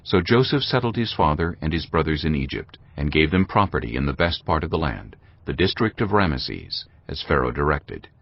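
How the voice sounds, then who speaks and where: Very deep, gravelly male narrator. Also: very animated, a little fast, hardly any pauses. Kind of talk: dramatized scripture narration. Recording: dull lo-fi audio. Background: faint music.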